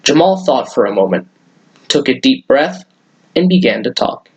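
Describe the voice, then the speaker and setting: A man's voice: medium pitch, big pitch swings, moderate pace, breathy edge, low-quality recording. A man hosting a children's story time, talking to young listeners and reading a story aloud.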